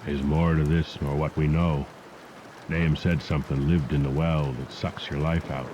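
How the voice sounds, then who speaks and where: A man voicing a frightened old farmer in a horror audiobook, speaking a rustic country dialect in hushed, grave tones. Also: very deep voice, flat delivery, normal speed. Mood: hushed, fearful. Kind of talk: horror audiobook character dialogue. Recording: muffled degraded cassette tape with rain noise. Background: rain and storm sounds.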